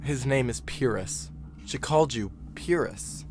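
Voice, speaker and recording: With clear noise in the background, a bookish young student played by a man speaks at a middling male pitch. He is insistent and controlling, pressing his point in audio drama style. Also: expressive, unhurried, lightly acted. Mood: probing. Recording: noticeable background noise and ambience.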